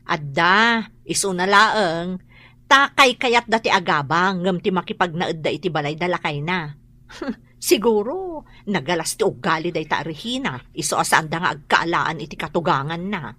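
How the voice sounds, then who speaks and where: An actress with a Filipino accent playing a gossipy, sharp-tongued woman neighbour in a radio drama. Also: lowish voice, expressive, normal speed. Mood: gossipy, complaining.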